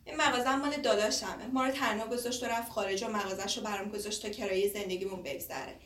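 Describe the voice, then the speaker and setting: A woman's voice: medium pitch, fairly even intonation, a bit bright, lightly acted. An actress playing a caring, worn-out young woman looking after her father, soothing and pleading, in a naturalistic drama. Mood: tired, earnest. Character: caring, worn-out grown daughter of an old man. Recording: echoey, noisy room, distant mic.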